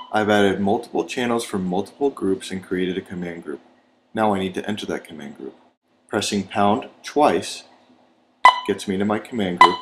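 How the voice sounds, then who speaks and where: A man's voice: low-pitched, heavy background noise. An American man narrating a scripted training video as an instructor, in a methodical, step-by-step manner.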